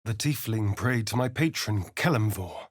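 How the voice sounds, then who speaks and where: An actor voicing a sly, vain vampire rogue for a video game, with an English accent and an arch, theatrical, drawling delivery. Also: male voice, lowish voice, expressive, moderate pace. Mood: hushed narrating.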